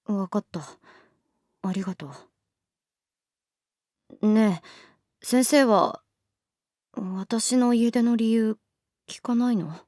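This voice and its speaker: A woman's voice: fairly high pitch, slow, pauses often, a little mellow, no room sound, studio quality. An actress playing a sulky, guarded teenage schoolgirl in a Japanese voice drama, curt and defensive with a shy side. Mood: hesitant. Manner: curt, defensive, hesitant replies.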